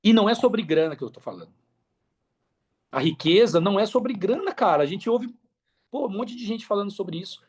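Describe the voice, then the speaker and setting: A man's voice: sits high, animated, fairly quick, pauses often, darkish tone, slightly rough. A Brazilian man talking casually and earnestly on a podcast, repeating phrases for emphasis in a motivational way. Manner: earnest, repetitive for emphasis, conversational.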